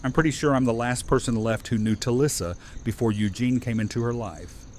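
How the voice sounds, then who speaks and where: A man playing a gentle, sincere friend in an audio drama. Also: medium pitch, a little fast, slight background noise, close to an everyday voice. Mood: tender, sincere. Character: Aaron, gentle, sincere man giving wedding toast. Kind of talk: fan audio drama acting.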